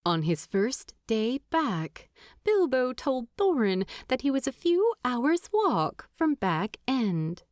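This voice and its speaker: A woman narrating fiction in an audiobook style, with unhurried, expressive and deliberate phrasing. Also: high voice, no room sound, clean studio sound.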